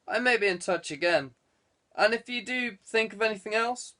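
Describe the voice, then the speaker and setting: A man's voice: sits high, normal speed, pushed and bright, pitched above the performer's own voice. A man voicing a courteous, inquisitive male police detective in an audiobook. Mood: polite. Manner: polite, casually inquisitive questioning. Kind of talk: audiobook character dialogue.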